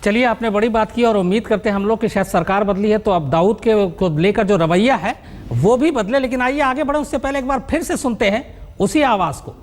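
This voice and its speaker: An emphatic television news anchor in mid-broadcast, with a medium pitch. The delivery is a live presentation that circles back over key phrases to build things up. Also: fairly quick.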